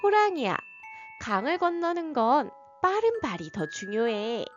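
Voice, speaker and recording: A woman voicing a cheeky, teasing young rabbit in a storybook, in a high voice, with soft music behind. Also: big pitch swings, unhurried, muffled recording. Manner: teasing, giggly.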